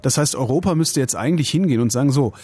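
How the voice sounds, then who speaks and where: Husky German late-night radio host. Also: male voice, medium pitch, lively intonation, darkish tone. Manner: musing, provocative, opinionated, quick asides.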